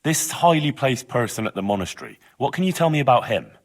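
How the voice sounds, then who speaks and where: A man's voice: mid-range voice, lively intonation, fast. An actor playing an earnest young village lad in a video game, speaking in a plain, questioning way as he investigates. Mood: probing. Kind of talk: dramatic video game dialogue.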